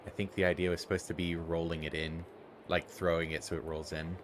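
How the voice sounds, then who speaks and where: A man's voice: low voice, flat delivery, fairly quick, background noise. A man co-hosting a game stream, chatting casually and teasing the player with frequent laughs. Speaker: co-host watching the stream. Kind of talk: casual commentary.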